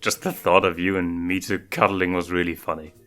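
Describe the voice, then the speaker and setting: A man acts out a young lion cub prince in a storybook reading, playful and touchy. He is excitable and teasing, quick to get indignant, with faint music behind him. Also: deep voice. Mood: playful, giggly.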